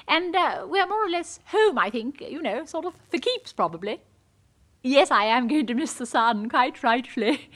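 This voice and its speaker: An actress playing a gushing, awkward middle-aged upper-class English wife, jolly and flustered, in a comic monologue. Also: female voice, a little high, a bit bright, exaggerated voice.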